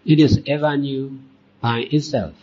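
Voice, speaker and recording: A man teaching as a Buddhist monk, leading a guided meditation in deliberate short phrases with long pauses. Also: mid-range voice, fairly even intonation, darkish tone, slightly rough. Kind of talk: guided meditation dhamma talk. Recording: dull, muffled lo-fi recording.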